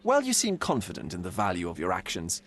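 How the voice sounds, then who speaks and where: An actor playing a theatrical, mocking gentleman who preaches cynical philosophy in a video game, teasing and grand. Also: huge pitch swings, quick pace, exaggerated voice. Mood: probing. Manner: theatrical, sweeping pitch swings, teasing.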